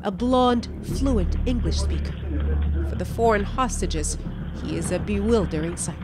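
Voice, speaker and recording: A woman news correspondent reading a scripted documentary report in a measured, dramatic storytelling way. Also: mid-range voice, expressive. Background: music bed under the voice-over.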